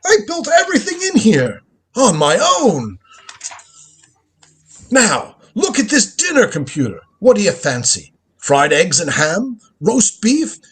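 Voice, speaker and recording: A man's voice: sits high, huge pitch swings, unhurried, a bit bright, breathy edge, full character voice. A man playing a crotchety, proud old grandfather and time-travelling inventor in a live radio drama reading, with an imperious, lecturing manner. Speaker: lead actor in a live script reading.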